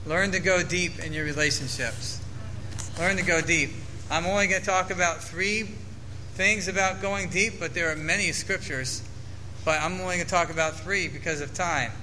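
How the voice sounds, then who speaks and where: A man's voice: high-pitched, moderate pace, sharp tone, slight background noise, rough recording. A man preaching a sermon to his church, earnestly reading scripture aloud and explaining it. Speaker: pastor.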